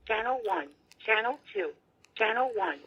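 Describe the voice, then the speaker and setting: Casting: female device prompt voice, clipped announcements, lively intonation, dull small-speaker sound. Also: fairly high pitch, normal speed, husky, dry recording. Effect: small radio speaker. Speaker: walkie-talkie voice prompt. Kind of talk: clipped instructional menu announcements. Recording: muffled playback from small radio speaker.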